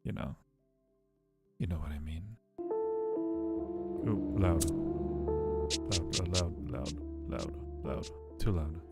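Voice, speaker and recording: A man's voice: low voice, drawn-out words, long pauses, loud music behind the voice. A man chatting casually and playfully with viewers on a livestream, teasing them affectionately. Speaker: live streamer hosting a chat.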